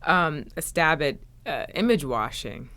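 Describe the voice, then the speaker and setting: A woman's voice: sits low, big pitch swings, unhurried, fairly bright tone. A woman hosting a conversational interview, putting questions and comments to a guest. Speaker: podcast host interviewing a guest.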